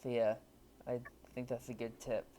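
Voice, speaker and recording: A man's voice: medium pitch, level tone, moderate pace, dark tone. A man hosting an interview, asking casual questions with a few fillers. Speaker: host, interviewer.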